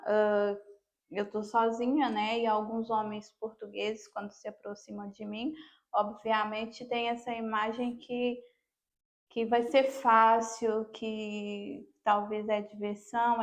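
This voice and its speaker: Brazilian woman chatting on a podcast, kinda high voice, casual personal talk. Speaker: podcast guest. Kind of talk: casual conversation, sharing personal experience.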